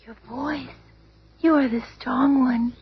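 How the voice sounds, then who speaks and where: A gentle, fearful young woman in a 1970s radio play. Her voice is very high, breathy and unhurried. Clear noise from an old recording sits behind it. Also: fairly even intonation, dull audio. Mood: wondering. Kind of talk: 1970s superhero radio drama acting.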